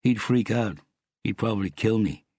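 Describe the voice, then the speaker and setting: Anxious young man, acted by a male reader, sarcastic, defensive, low husky voice, audiobook dialogue. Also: normal speed, a little mellow, dry recording, clean studio sound. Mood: nervous, defensive.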